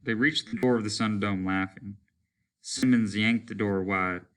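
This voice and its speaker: Bright-voiced man narrating a story. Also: fairly low pitch, steady tone, unhurried. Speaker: teacher reading a story aloud. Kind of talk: dramatic scripted narration.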